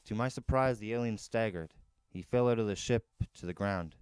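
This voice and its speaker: A man's voice: fairly low pitch, normal speed, darkish tone, smooth, no echo. A man reading a novel aloud as a first-person storyteller. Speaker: narrator, podcast storyteller.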